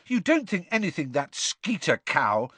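A man's voice: on the high side, sing-song, measured pace, bright, forceful tone, husky, no echo, pitched above the performer's own voice, full character voice. A man voicing a loyal, blunt teenage schoolboy who talks earnestly and a bit flustered.

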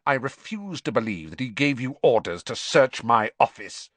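A man's voice: mid-range voice, expressive, normal speed, a bit bright, husky, dry recording. A man voicing a cold, haughty, sinister professor in an audiobook, hissing and disdainful through clenched teeth. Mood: quietly dangerous.

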